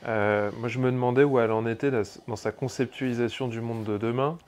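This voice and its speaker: A man's voice: medium pitch, fairly even intonation, brisk, darkish tone. A man interviewing a guest, putting questions hesitantly with restarts and fillers. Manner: hesitant, searching for words with "euh" and restarts.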